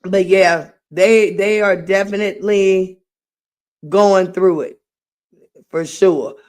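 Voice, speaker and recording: A middle-aged woman with a slightly raspy voice, hosting a casual late-night livestream and reading comments aloud in a sassy way. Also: mid-range voice, steady tone, takes it slowly, darkish tone. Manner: sassy, drawling, reads chat aloud. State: voice getting raspy. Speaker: livestream host.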